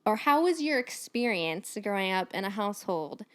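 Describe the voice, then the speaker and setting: A woman's voice: mid-range voice, sing-song, normal speed. A woman in her late twenties hosting a casual podcast chat in an informal, giggly way. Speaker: podcast host.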